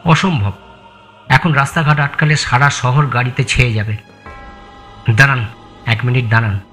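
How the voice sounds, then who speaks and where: Man acting a calm, mid-pitched police sergeant. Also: faint music behind, lightly acted. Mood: practical, firm. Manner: measured, placating, matter-of-fact. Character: calm, practical male police sergeant, soothing and procedural. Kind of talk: Bengali audio thriller acting.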